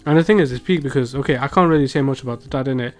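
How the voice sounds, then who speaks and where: A man's voice: medium pitch, rapid-fire, soft background music. A young British man chatting casually as a podcast co-host, with a slangy, emphatic way of talking.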